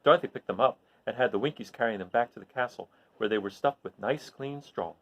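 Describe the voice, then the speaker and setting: A man reading a children's story aloud expressively, in an animated way that addresses his listeners. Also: mid-range voice, quick pace, lo-fi sound. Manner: animated, recapping with questions to listeners.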